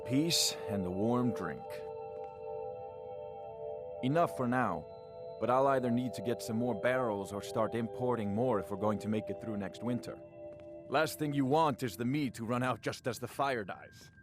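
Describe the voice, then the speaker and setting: A man's voice: mid-range voice, normal speed, natural voice. Man acting an easygoing mead brewer, music behind. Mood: easygoing, friendly. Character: unruffled, friendly mead brewer man of a Nordic hall. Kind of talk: video game character dialogue.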